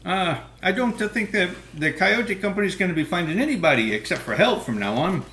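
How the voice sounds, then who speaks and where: A man's voice: sits high, lively intonation, a little fast, pushed and bright, slight background noise. A man running a tabletop game as game master, chuckling often and hesitating with frequent ums, recorded in an echoey room. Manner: laughing, hesitant, lots of ums.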